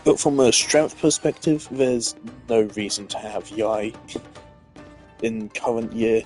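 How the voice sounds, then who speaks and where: A man's voice: medium pitch, slow pace, darkish tone, faint music behind, rough recording. A man streaming a video game, giving casual, opinionated commentary full of filler words.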